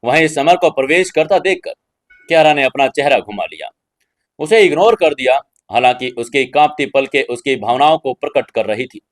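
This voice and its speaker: A man's voice: medium pitch, moderate pace, dry recording. Male narrator of a dramatic serial.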